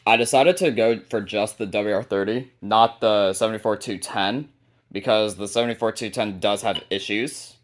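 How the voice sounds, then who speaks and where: A man with a fairly low voice hosts a hobby video. He talks casually, presenting items from a collection one by one in an informal show-and-tell way. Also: moderate pace. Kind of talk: casual collection showcase narration.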